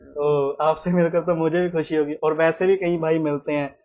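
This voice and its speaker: A man's voice: fairly high pitch, little pitch movement, moderate pace. Young man, vlogger, casual chat, home room, tinny audio. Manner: chatty, repeats himself, sprinkles English words. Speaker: vlogger host. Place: small room at home, indoors.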